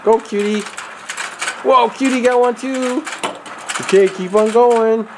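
A woman's voice: on the high side, steady tone, noisy background. A woman in her thirties behind the camera at home, explaining a kids' game and cheering the children on with teasing, laughing play-by-play. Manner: upbeat, teasing, cheering kids on with quick laughs. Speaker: parent hosting a family toy channel. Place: home dining room, indoors. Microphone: camera mic, speaker off camera. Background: background music.

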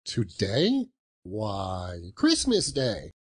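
Man doing a cheeky, husky street boy. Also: lowish voice, wildly swooping pitch, extremely slow, full character voice. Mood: puzzled. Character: cheeky, plain-spoken Victorian street boy. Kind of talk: dramatic storybook reading.

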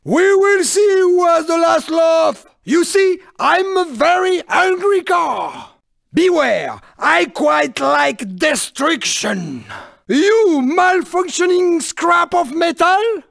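A sneaky, irritable little French car from a video game. The voice is high and gravelly, with a French accent and unhurried pacing. Delivery is haughty, exclamatory and theatrical. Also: male voice, expressive, fairly bright tone, dry recording, studio quality, exaggerated voice. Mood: angry, scornful. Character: small, irritable, boastful, sneaky French male cartoon car.

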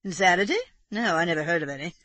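A woman reading aloud, voicing a dry, ironic older gentleman. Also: fairly low pitch, animated, fast, no room sound.

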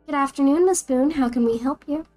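A woman's voice: sits high, a little fast, a little mellow, faint music behind, voice pushed up, close to an everyday voice. A woman voicing a courteous but condescending operator of a secret agency's phone line. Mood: smug.

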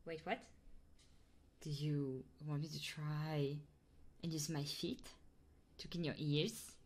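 A woman streamer doing ASMR and chat in a soft, intimate voice that often drops into whispers, close to the microphone. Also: low-pitched, fairly even intonation, slow, slight background noise. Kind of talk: ASMR roleplay and chatting livestream.

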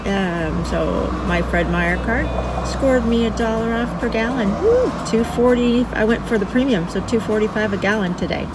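A woman's voice: on the high side, moderate pace, very noisy. A middle-aged woman with an American accent chatting casually and cheerfully in a folksy way inside a car, as a travel vlogger. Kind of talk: casual chat to camera while driving.

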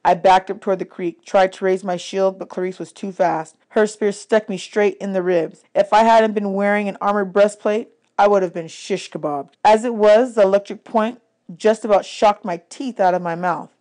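Female audiobook narrator, medium pitch, expressive read-aloud of children's adventure fiction. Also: moderate pace.

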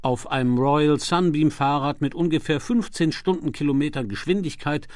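A man's voice: a little high, normal speed, darkish tone, no room sound. A man narrating a novel as a scripted audiobook reading.

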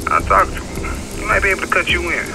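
An actor with an American accent playing a cocky, loose-lipped young gang foot soldier in a video game, brash and slangy. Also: male voice, high voice, sing-song, fast talker, creaky, over music, exaggerated voice, rough recording. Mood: confiding.

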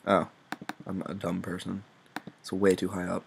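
A young man with a very low voice that crackles with vocal fry. He talks through a tutorial off the cuff, informal, sweary and self-deprecating. The recording has clear background noise with little clicks. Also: monotone, moderate pace. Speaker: music production tutorial presenter. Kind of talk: casual unscripted screen-recorded tutorial.

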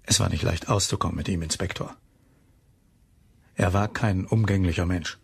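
Wanted: radio-play lighthouse man, deep and heavily raspy, reflective, troubled, conscientious. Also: steady tone, moderate pace, heavily acted. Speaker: actor in an audio drama. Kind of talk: German crime radio play acting.

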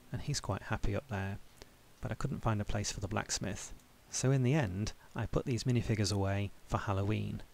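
A man with a low voice and a gravelly, fry-edged texture narrates a review for his channel. His delivery is measured and tidy, like a read script. Soft music sits faintly beneath him. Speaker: toy-building channel host.

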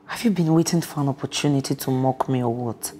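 A woman playing a scheming, resentful and seductive friend in a film drama, speaking deliberately and with insinuation. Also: a bass voice, dark tone, slight background noise. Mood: bitter, vengeful. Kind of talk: melodramatic film acting.

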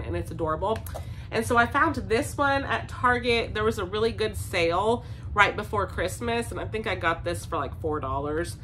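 A woman's voice: mid-range voice, a bit bright, a little hiss or hum. A woman in her thirties chatting casually to camera as a vlogger, sounding congested from a cold, upbeat and full of ums and likes. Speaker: vlogger, stay-at-home mom. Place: home basement, indoors. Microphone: camera in front, seated framing.

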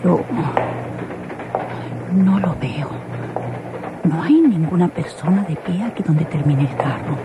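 A woman's voice: lowish voice, lively intonation, unhurried, pauses often. An actress playing a young woman train passenger, polite but anxious, with a Mexican accent in a radio soap opera. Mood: puzzled, musing. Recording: noisy old radio drama recording.

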